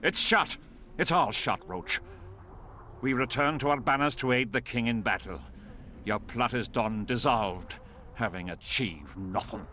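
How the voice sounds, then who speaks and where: A man's voice: mid-range voice, expressive, measured pace, slight background noise. An actor playing a blunt, dismissive male noble officer in a fantasy game. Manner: forceful, heated.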